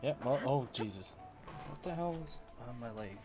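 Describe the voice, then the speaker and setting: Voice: mid-range voice, lively intonation, moderate pace. A game streamer giving casual reacting commentary while playing, echoing the characters' lines in offhand asides. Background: game music.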